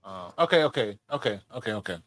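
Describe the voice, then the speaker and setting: A man's voice: on the low side, expressive, fast, fairly bright tone, no echo. A man co-hosting a podcast, chatting casually in an easygoing, agreeable way. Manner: easygoing, brief agreeing replies. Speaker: podcast co-host. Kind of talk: casual chat.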